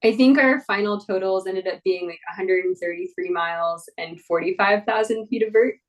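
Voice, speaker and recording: A woman's voice: medium pitch, moderate pace, recorded from a distance, rough recording. A young woman, a mountain guide, talking casually in an interview, laughing often and full of fillers and restarts.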